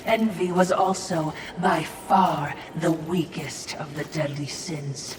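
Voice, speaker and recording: A woman's voice: low voice, slow pace, background noise. An actress playing a sly, servile female spirit attendant in a video game, formal and insinuating in manner. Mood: sly, knowing. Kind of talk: video game cutscene acting. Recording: echoing, distant-sounding voice over music.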